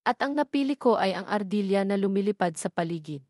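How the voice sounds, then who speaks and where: A woman voicing an earnest, tender male comic artist in an audio drama, speaking in a formal, measured way. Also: mid-range voice, lively intonation, a little mellow, no room sound, studio quality, lightly acted.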